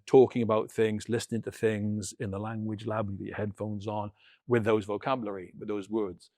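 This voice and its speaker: Middle-aged British male, low register, dry close sound, conversational storyteller for an interview guest spot. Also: no echo. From United Kingdom. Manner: anecdotal, re-enacts dialogue as he tells it. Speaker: interview guest, former military linguist.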